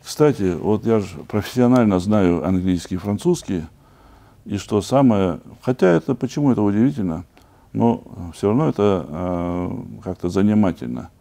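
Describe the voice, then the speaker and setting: Deep, mellow-voiced earnest man. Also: animated, normal speed, vocal fry. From Chechnya. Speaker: podcast guest. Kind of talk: conversational podcast discussion.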